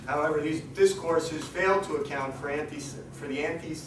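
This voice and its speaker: Hoarse man lecturing in an echoey room. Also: fairly high pitch, moderate pace. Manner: hesitant, many ums and restarts. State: losing his voice. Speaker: guest lecturer. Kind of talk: academic seminar talk. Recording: echoey room recorded from a distance, noisy.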